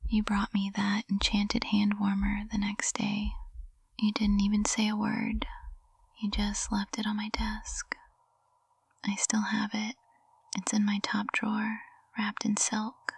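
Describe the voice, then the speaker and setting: A woman acts out a witch professor in an intimate ASMR roleplay, whispering slowly and close to the mic. Her breathy hush has a dry closeness, and she sounds tender, fragile and possessive beneath a formal composure. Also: mid-range voice, no echo, heavily acted. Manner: slow, hushed, intimate delivery. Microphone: close microphone.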